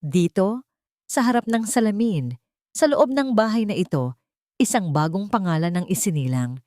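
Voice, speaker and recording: A woman with a Filipino accent narrating a scripted first-person drama in measured, deliberate phrases. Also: medium pitch, animated, warm tone, no echo, clean studio sound. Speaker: story narrator.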